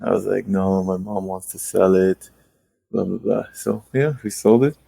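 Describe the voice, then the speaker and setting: A man in his late twenties, a guest investor telling stories in a casual interview with quick rueful asides. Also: low-pitched, gravelly, warm tone, no room sound. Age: 20s. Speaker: guest real estate investor.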